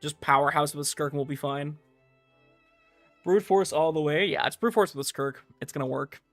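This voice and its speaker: An easygoing streamer chats casually through live gameplay, muttering reassurances along the way. The voice sits at a middling pitch, with faint game music playing behind it. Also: moderate pace, no echo. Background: video game music.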